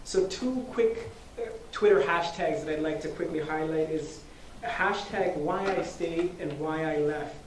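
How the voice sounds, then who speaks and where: A man's voice: on the high side, measured pace. A man hosting an event, giving earnest opening remarks with many fillers and restarts in a university lecture hall. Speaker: event host. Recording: echoey hall, distant mic, faint noise.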